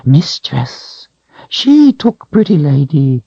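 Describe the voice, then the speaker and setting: A man's voice: sing-song, takes it slowly, gravelly, dark tone, slightly rough, dry recording, muffled recording, exaggerated voice. A man voicing a cringing, hairless creature that whines, hisses and pleads in broken speech, in a storybook reading. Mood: whining. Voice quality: shrill whining, broken speech. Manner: whimpering, pleading, hissing. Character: cringing hairless creature, sly, whiny, grasping.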